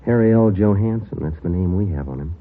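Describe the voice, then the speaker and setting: A terse police detective from an old radio drama. His voice is low and he rattles through the facts in a deadpan, clipped way. It sounds like a tinny old broadcast. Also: a little fast, slight background noise. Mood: businesslike. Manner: deadpan, matter-of-fact. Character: terse, businesslike male police sergeant detective. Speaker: actor, lead and narrator. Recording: tinny vintage radio recording with music.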